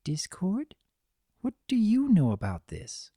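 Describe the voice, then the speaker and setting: A woman voicing a gentle, anxious young female cartoon pony, earnest, soft and fretful. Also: medium pitch, wildly swooping pitch, slow, slightly rough, dry recording, clean studio sound, pitched above the performer's own voice, exaggerated voice. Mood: hurt, pleading.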